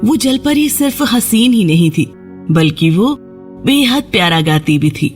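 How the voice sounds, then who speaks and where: A woman narrates a fairy tale for children in a scripted storytelling style. Her voice sits at a middle pitch, and music plays behind her narration. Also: very animated. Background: background music.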